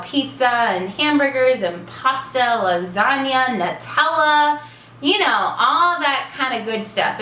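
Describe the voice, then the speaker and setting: Casting: chatty woman in her twenties, vlogger, bright voice, echoey room, faint hiss. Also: a little high. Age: 20s. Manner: chatty and bubbly, many fillers. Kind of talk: casual Q&A vlog.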